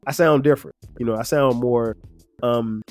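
A man in his fifties, his voice slightly nasal and stuffed-up, talks through a solo podcast in a loose, meandering way, full of fillers and restarts. His voice sits at an ordinary middle pitch. Faint music plays quietly underneath. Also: moderate pace. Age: 50s. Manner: relaxed, rambling, frequent fillers and restarts. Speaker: podcast host. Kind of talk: casual solo podcast reflection.

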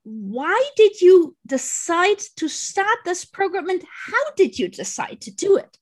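A woman hosts an interview with a high voice and a slow pace. She sounds enthusiastic and fills her speech with "you know". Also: big pitch swings. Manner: enthusiastic, filler-heavy. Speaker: interviewer, host. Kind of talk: conversational interview.

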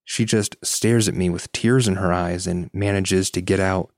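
A man's voice: sits low, steady tone, moderate pace, dry recording, clean studio sound. A man co-hosting a podcast, reading listener stories and chatting casually. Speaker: podcast co-host.

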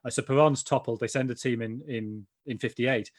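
A man co-hosting a football history podcast, chatting casually and getting animated as he tells the story. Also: mid-range voice, brisk. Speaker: podcast co-host. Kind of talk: casual chat, reading a quote aloud.

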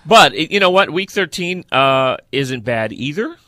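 A man's voice: fairly high pitch, expressive, unhurried, pushed and bright, dry recording, studio quality. A man co-hosting a sports podcast, talking football in a casual, conversational way.

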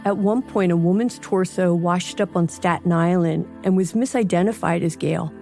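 A woman's voice: on the low side, moderate pace, gravelly, a little mellow. A woman hosting a podcast, reading a scripted promo narration. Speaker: podcast host. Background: music bed.

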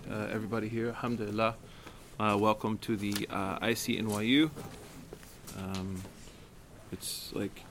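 Male study-circle teacher, deep voice with a cold, casual lecture with fillers, faint ambient hum. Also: fairly bright tone. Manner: conversational, with many fillers and restarts. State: has a cold. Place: community center.

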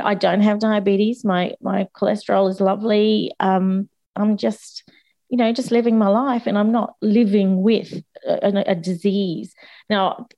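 A woman speaking as a guest on a discussion panel, candid and chatty, with a voice still slightly croaky from a cold. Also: mid-range voice, mellow, muffled recording. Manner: candid and chatty, with frequent restarts and laughs.

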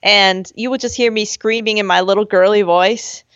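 A woman with a high voice chats and laughs as a podcast co-host, slipping into squeaky voices when she quotes herself. The recording is dull and slightly lo-fi. It sounds dry, with no room echo. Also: fairly even intonation, moderate pace, fairly bright tone. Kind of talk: casual chat. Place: at home with a dog.

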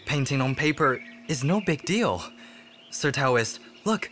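An actor playing a humble, passionate young court painter in a radio drama, earnest and deferential. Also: male voice, medium pitch, expressive, slow, fairly bright tone, a little hiss or hum. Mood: humble, eager.

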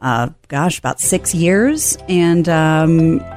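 A woman's voice: takes it slowly, faint music behind. A woman radio broadcaster from Kansas, chatting casually as an interview guest. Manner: relaxed, chatty, low voice.

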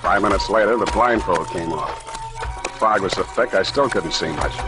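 An American actor playing a tough, cynical, wisecracking private detective in a 1940s radio drama, clipped and sardonic. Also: male voice, deep voice, normal speed, loud music behind the voice, close to an everyday voice. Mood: wry, tense.

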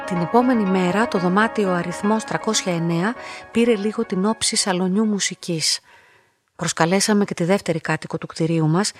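A woman's voice: lowish voice, close to an everyday voice. Introspective young woman narrating, music behind acted. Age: young adult. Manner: measured, introspective. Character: lonely, submissive, quietly observant young woman narrator. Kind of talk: literary first-person radio drama narration.

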